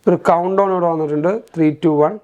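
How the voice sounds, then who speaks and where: A man's voice: on the high side, steady tone, fast talker, darkish tone. A man with a Malayali accent, hosting a tech walkthrough in an explanatory, step-by-step way and mixing in English terms. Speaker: tech reviewer, host. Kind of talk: scripted-feeling tech tutorial narration.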